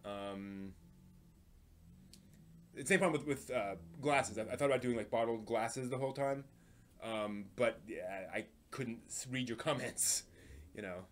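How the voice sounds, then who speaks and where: A man with a medium-pitched voice hosts a casual live stream. He talks off the cuff and thinks aloud as he goes, often stalling and repeating a word before he carries on. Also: measured pace, long pauses, a little hiss or hum.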